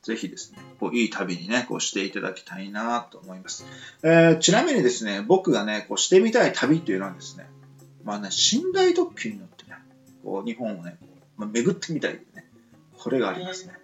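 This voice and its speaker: A man's voice: medium pitch, huge pitch swings, fast, muffled recording, soft background music. A man hosting a casual radio show in a chatty manner, tagging his phrases with frequent little particles.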